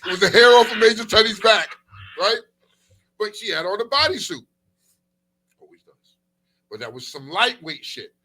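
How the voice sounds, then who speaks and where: Raspy, bright-voiced man bantering. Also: high voice, animated, normal speed, long pauses. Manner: profane, slangy, teasing. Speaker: talk show co-host. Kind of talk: casual uncensored banter.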